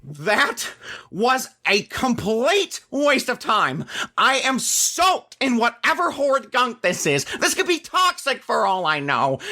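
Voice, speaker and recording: A woman's voice: high voice, sharp tone, heavily acted. A woman playing a fussy, controlling rich man in an audio drama, whiny, pompous and indignant.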